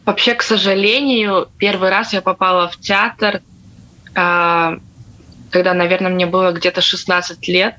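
A young woman from Latvia telling her own story casually as an interview guest, with frequent hesitant pauses. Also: lowish voice, flat delivery, pushed and bright, dull audio. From Riga, Latvia. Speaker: guest, theatre accordionist.

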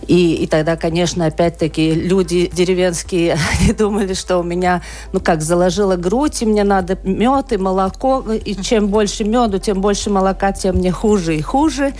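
A woman from Latvia speaks as an interview guest in a slightly low voice. She recounts personal experience in unscripted speech, hesitating and restarting as she searches for words. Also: normal speed, a little mellow. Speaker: interview guest, asthma and allergy patient. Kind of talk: personal storytelling in a radio interview.

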